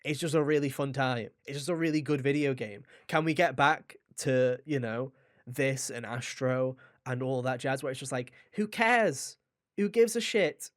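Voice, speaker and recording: A man's voice: medium pitch, moderate pace. A man hosting a gaming podcast, talking casually in an enthusiastic, rambling way with some swearing. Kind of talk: casual video game discussion.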